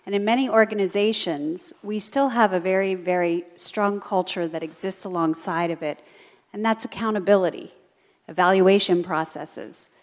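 A woman in the audience asking a question in a polite, measured, thoughtful way. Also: medium pitch.

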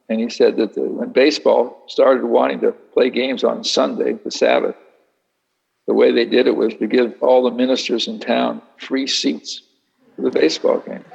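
Speaker taking audience questions: a gravelly, husky man who sounds unhurried and dryly wry, on a dull lo-fi recording. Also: mid-range voice, darkish tone. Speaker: speaker leading a Q&A session. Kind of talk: reflective talk answering an audience.

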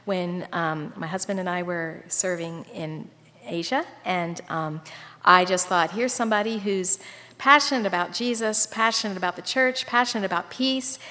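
A woman introduces a visiting speaker to a congregation, hesitating and filling pauses. Her voice carries a long reverb from the large room. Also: fairly low pitch, bright, forceful tone. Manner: hesitant, many fillers. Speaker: mission representative introducing a guest. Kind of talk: casual introduction. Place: church sanctuary.

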